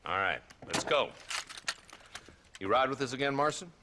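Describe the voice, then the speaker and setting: A man's voice: mid-range voice, lively intonation, moderate pace, background noise, natural voice. An actor playing a weary, sardonic older lawman in an Old West game, with an American Western drawl. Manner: dry, grumbling, sarcastic. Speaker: marshal. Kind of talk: video game cutscene acting.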